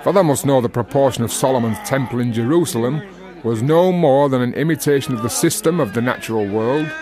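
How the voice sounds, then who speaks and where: An actor playing an earnest working-class Victorian Freemason in a radio drama, holding forth in high-flown, archaic, declamatory phrases. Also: male voice, brisk, a little mellow, lightly acted. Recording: music under the voice.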